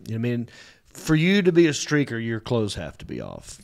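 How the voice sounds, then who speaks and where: A man's voice: medium pitch, fairly quick, gravelly. A man, hosting a podcast in a studio and telling stories in casual, teasing chat. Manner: animated storytelling, teasing. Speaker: podcast host. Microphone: studio mic on boom.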